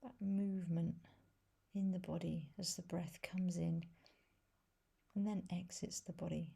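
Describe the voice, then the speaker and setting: A woman guides a meditation in a fairly low, dark and mellow voice. Her tone is husky and breathy, and she speaks softly and gently. She leaves long pauses between short phrases. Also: fairly even intonation. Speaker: meditation teacher. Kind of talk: guided body scan meditation.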